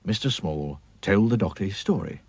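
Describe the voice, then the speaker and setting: A man narrating a children's story in a playful, expressive way. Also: sits low, normal speed, husky, no room sound.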